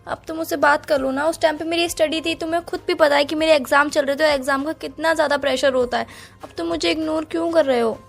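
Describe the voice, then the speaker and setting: A woman's voice: sits high, fairly even intonation, fast talker, vocal fry, faint music behind. A woman with an Indian accent playing a hurt, apologetic young student girlfriend, pleading in a phone-call romance drama. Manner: pleading, plaintive.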